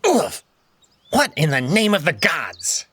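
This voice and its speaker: A man's voice: fairly high pitch, huge pitch swings, slow, noisy background, voice pushed up, full character voice. A man voicing a haughty, sour male wolf noble who speaks in a clipped, condescending way for a voiced visual novel. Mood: indignant.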